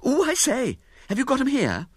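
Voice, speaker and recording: An eager, roguish little girl with a breathless, squealing voice, as a male storyteller puts her on. The pitch leaps far above his own and swoops about. Close, dry recording. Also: huge pitch swings, normal speed, husky, no echo, full character voice. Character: excitable untidy little girl with a roguish smile.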